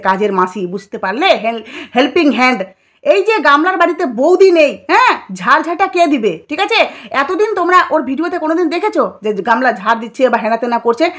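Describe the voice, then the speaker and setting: Woman, high voice. Gossipy vlog commentator, chatty and insistent, talks straight to her audience. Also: fairly bright tone. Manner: insistent, conversational, frequent check-in questions to listeners. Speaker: vlogger commenting on online controversy. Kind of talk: gossipy commentary addressed to viewers.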